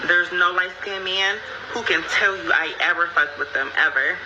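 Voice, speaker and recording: A woman's voice: on the low side, moderate pace. A woman in a casual, opinionated rant, speaking emphatically and repeating herself, on a lo-fi clip. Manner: emphatic, repetitive. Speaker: speaker in a social media clip. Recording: muffled, noisy lo-fi clip playback.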